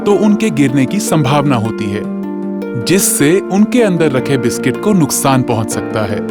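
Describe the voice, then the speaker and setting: A man's voice: medium pitch, very animated, normal speed, natural voice. A man playing a strict warehouse supervisor in a training film, scolding and instructing workers. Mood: instructive. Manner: scolding, didactic. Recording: loud music behind the voice.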